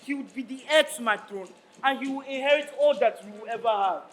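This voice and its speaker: A man's voice: extremely high pitch, brisk, a bit bright. A man with a Nigerian accent acting a proud, troubled Yoruba king in a declamatory stage style. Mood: proud, generous. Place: stage of a live play. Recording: noisy live stage recording.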